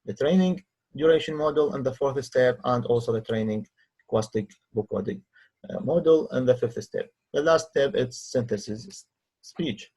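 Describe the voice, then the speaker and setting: Husky non-native English-speaking man presenting a tutorial. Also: medium pitch, a little mellow, poor audio. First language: not English. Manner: halting, non-native phrasing with hesitant pauses. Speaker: researcher demonstrating own software. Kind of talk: tutorial screen-recording narration.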